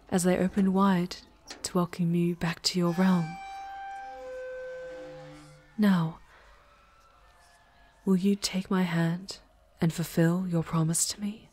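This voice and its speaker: A woman playing a proud, ancient demoness in an ASMR audio roleplay, with a soft breathy voice and formal, theatrical phrasing. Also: on the low side, fairly even intonation, slow pace, long pauses. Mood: overjoyed, amazed. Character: proud ancient demoness, long imprisoned, yearning for freedom.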